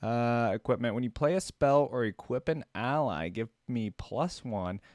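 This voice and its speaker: A man's voice: medium pitch, unhurried, a little mellow, no echo, studio quality. A young man hosting a reaction video, commenting casually and musing aloud as he goes. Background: faint music.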